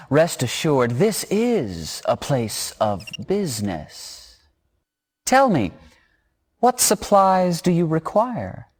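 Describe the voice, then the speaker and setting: An actor playing a sly, insinuating trader with courtly, measured speech in a fantasy video game. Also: male voice, on the high side, darkish tone, exaggerated voice. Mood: ingratiating. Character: sly, smooth-talking shady trader with a cold grudge. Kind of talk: video game cutscene acting.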